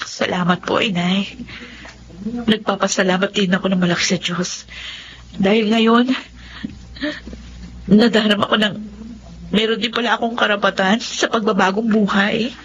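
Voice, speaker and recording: An actress playing a weary, earnest young eldest daughter in an emotive Filipino radio drama. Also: female voice, medium pitch, steady tone, moderate pace, long pauses, fairly bright tone, slight background noise, close to an everyday voice. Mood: grateful, hopeful. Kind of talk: Filipino radio drama acting and first-person narration. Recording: dull lo-fi audio with music behind.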